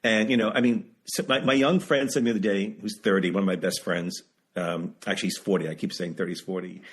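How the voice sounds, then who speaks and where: A man's voice: low-pitched, steady tone, fast talker, breathy edge. A seventy-year-old man talking candidly as an interview guest, emphatic and repeating phrases for stress. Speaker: guest, author and writing teacher. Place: video call.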